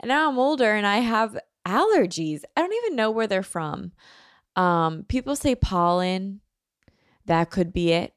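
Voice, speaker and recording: A woman's voice: mid-range voice, very animated, unhurried, smooth, no room sound, studio quality. A woman hosting a casual solo podcast, chatting and rambling with lots of likes and ums, a little nasal from allergies. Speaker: podcast host.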